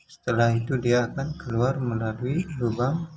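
A man's voice: mid-range voice, normal speed. Man, repair tutorial, plain explanatory talk, fillers, faint hiss behind. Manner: plain, explanatory, with frequent fillers and tag words. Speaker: small engine repair instructor.

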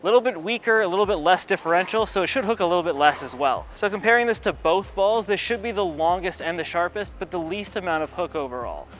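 A man's voice: high-pitched, animated, fast talker, few pauses, a bit bright. A man in his thirties gives a casual bowling ball review in a large bowling alley, muttering play-by-play between throws. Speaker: pro shop ball reviewer.

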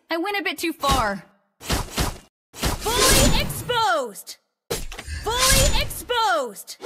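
A woman's voice: high-pitched, heavy background noise, exaggerated voice. A woman voicing a confident, agile young female warrior in a video game, with a proud, taunting manner. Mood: animated. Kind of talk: video game character voice lines. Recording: loud game music behind the voice.

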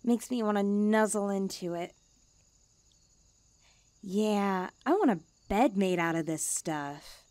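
A woman's voice: mid-range voice, lively intonation, slow pace, long pauses, darkish tone, no room sound. A woman voicing a cute, self-important young stray catgirl in a languid ASMR roleplay. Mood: cozy, content. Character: cute, smug, pampered, drowsy young female stray catgirl.

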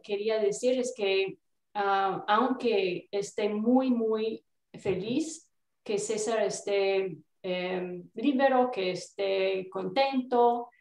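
A woman's voice: medium pitch, a little mellow. A middle-aged woman with an American accent, speaking earnestly and emphatically as an attorney on a video-call panel. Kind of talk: panel discussion remarks. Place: indoor room, on a video call. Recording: echoey, distant video-call sound.

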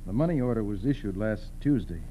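A man's voice: lowish voice, lively intonation, fast talker, close to an everyday voice. An American man playing a methodical, no-nonsense federal agent in 1940s radio style, speaking tersely. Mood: intent. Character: methodical, no-nonsense male FBI special agent. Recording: dull, faintly noisy old radio recording.

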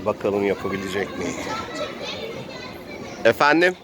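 A man's voice: fairly low pitch, big pitch swings, a little slow, a crowd in the background. A man acting as game master, narrating an improvised role-playing adventure in a dramatic play-by-play storytelling manner. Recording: faint street noise and background voices.

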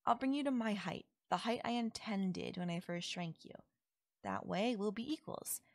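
A woman voicing a doting, possessive yandere fairy in an intimate audio roleplay, coaxing and softly teasing. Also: medium pitch, normal speed, no room sound, clean studio sound, close to an everyday voice. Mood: playful, coaxing. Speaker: voice actor. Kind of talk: intimate audio roleplay, ASMR-style acting.

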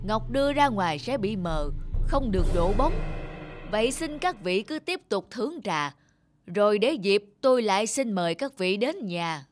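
A stout, wealthy village landlord from a cartoon. He speaks in a high, swinging voice, proud and emphatic, with formal, blustering phrasing. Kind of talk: cartoon dialogue.

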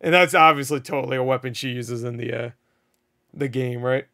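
A man in his thirties reacting to a show from home on a headset mic, excitable, swearing and laughing, with his voice going hoarse. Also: medium pitch, brisk, no echo, studio quality. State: losing his voice. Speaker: reaction video host. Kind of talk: casual reaction commentary. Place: home room, indoors.

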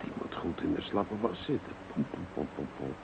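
An actor playing a pompous, bumbling, self-satisfied police commissioner in a radio play, muttering and blustering self-importantly. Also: male voice, deep voice, lively intonation, moderate pace, vocal fry. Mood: musing. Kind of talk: radio drama acting. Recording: muffled, noisy old radio recording.

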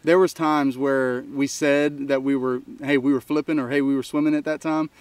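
A man's voice: mid-range voice, little pitch movement, moderate pace, clear, resonant tone, no echo. A man, co-hosting a fishing channel in casual chat, talking in an easygoing way through results and thanks.

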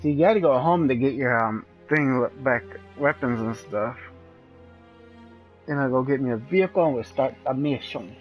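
A man with a mid-pitched voice chats through a video game in a blunt, offhand way, half talking to himself. Faint game music plays behind him. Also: moderate pace. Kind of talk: casual gameplay commentary.

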